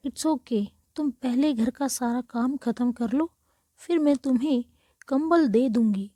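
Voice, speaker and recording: A woman acts out a humble, kindly village woman in a cartoon moral tale. The voice is high and very soft-toned, earnest and slow, in a dry recording. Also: no echo. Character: humble, kindly village women, maid and mistress. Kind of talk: children's moral story cartoon dialogue.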